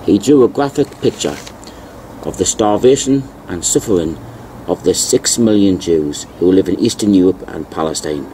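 A man narrating as he reads old newspaper articles aloud, deliberately, repeating key phrases. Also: deep voice, animated, mellow, a little hiss or hum, rough recording. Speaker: video narrator.

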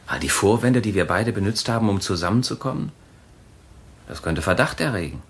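A man's voice: fairly low pitch, animated, a little fast, breathy edge. A man playing a nervous, cautious secret lover in a German radio thriller, reasoning urgently and hesitantly. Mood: worried, cautious.

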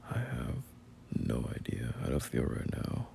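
Very deep, gravelly young boyfriend acted by a man. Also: wildly swooping pitch, dark tone, slight background noise, full character voice. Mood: stunned, unsure. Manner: halting, slangy, murmured, often chuckling. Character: bashful, teasing young man turning from friend to boyfriend. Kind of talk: intimate boyfriend roleplay audio, casual speech.

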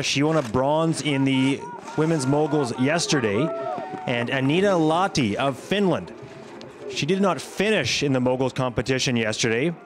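A man giving scripted highlights commentary on a sports competition as the commentator. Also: noisy background.

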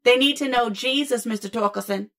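A woman's voice: on the high side, fairly quick, a bit bright. A woman playing a stern, exasperated school principal in a sitcom, admonishing and emphatic. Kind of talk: sitcom acting.

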